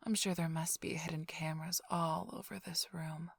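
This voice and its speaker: Woman playing a breathy, whispering vampire seductress. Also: sits low, flat delivery, moderate pace, no room sound, studio quality, full character voice. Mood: hushed, appraising. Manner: teasing, drawling, seductive. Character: flirtatious, teasing, smug female vampire thief. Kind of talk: whispered ASMR roleplay. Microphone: close-up studio microphone, dry.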